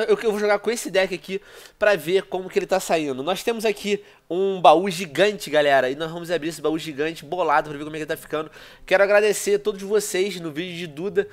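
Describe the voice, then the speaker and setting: A man's voice: on the high side, fairly quick. Congested Brazilian man gaming on a headset. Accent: Brazilian Portuguese. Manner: chatty, addresses viewers constantly, excitable outbursts. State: congested with a cold. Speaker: gaming YouTuber. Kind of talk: casual gameplay commentary. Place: small room at home, indoors.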